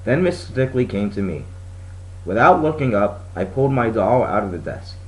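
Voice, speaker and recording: A man's voice: fairly low pitch, animated, normal speed, low-quality recording. A man reading a children's story aloud as a scripted narration. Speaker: storybook reader.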